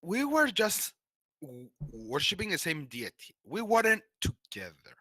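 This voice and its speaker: Man, highly animated pitch, bright voice, casual gamer chat, halting phrasing. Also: dry recording. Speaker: tabletop role-playing player. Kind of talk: casual chat about game characters.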